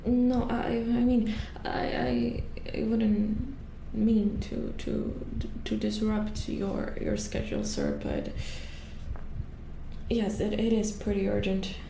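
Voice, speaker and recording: Slow, hushed, anxious female engineer played by a woman. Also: a little high, reverberant, a little hiss or hum, poor audio. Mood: nervous, deferential. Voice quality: soft, breathy, often whispered. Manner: halting, stammering, repeating words. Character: anxious female robot repair engineer, alarmed and secretive. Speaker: ASMR performer. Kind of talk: soft-spoken ASMR horror roleplay.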